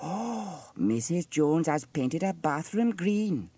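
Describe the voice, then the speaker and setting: A man's voice: a little high, big pitch swings, takes it slowly, dark tone, slightly rough, dry recording, voice pushed up, full character voice. A man voicing a nosy, fussy middle-aged village shopkeeper woman who clucks and gossips in a children's story. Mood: nosy, astonished.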